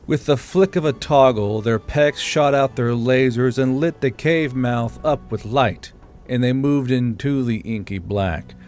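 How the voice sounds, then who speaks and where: A man's voice: medium pitch, darkish tone. A man reading scripted military fiction as an audiobook narrator.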